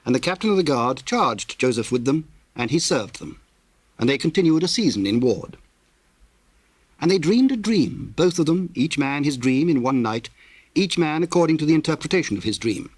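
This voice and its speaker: A man narrating scripture in a solemn, measured, formal way, reading from a script. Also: mid-range voice, lively intonation, breathy edge, no room sound.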